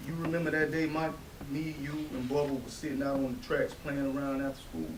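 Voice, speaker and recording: A man's voice: mid-range voice, flat delivery, brisk, close to an everyday voice. A man acting a brash, protective, street-tough young brother in a staged play reading, speaking in slangy Southern vernacular. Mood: grave, remorseful. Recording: distant roomy stage recording with hiss.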